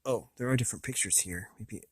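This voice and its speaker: A man's voice: on the low side, no echo. Rambling American man, dry close sound. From United States. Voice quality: slightly raspy from long talking. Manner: rambling, halting, thinking aloud. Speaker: video host. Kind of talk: casual, unscripted video game review.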